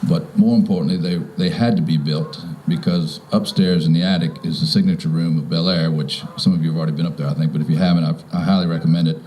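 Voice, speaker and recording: Very deep, mellow older man speaking informally. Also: steady tone, fast, few pauses, slight background noise, rough recording. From Virginia, United States. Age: 50s or older. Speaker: property owner welcoming guests. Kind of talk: informal welcome speech. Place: outdoors in front of a house.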